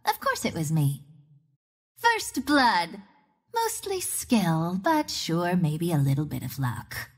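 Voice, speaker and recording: Video game hero: a self-assured young woman archer of the wind, teasing and theatrical, with lively swooping intonation. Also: lowish voice, slow, husky, exaggerated voice. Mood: cocky, teasing. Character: confident, wry young female archer ranger of the wind.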